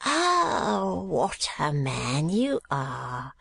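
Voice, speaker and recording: A man voicing an anxious, sly old miser for a storybook, croaking and cracked, faltering and wheedling as he repeats himself. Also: fairly high pitch, wildly swooping pitch, drawn-out words, pushed and bright, no echo, full character voice. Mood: cunning. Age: older. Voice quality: croaking, wheezy cackle, husky and cracked.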